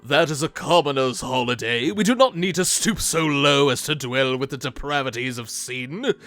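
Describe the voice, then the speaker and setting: A man's voice: medium pitch, moderate pace, fairly bright tone, lightly acted. Man putting on a pompous demon aristocrat father. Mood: disdainful. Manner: pompous, commanding, formal wording. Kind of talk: comic fan impression.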